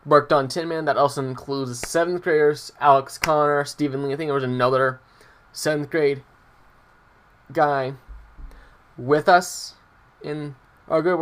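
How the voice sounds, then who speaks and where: A young adult man telling personal stories casually as a vlogger, hesitant and meandering, often breaking off mid-sentence. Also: mid-range voice. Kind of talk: casual rambling personal storytelling.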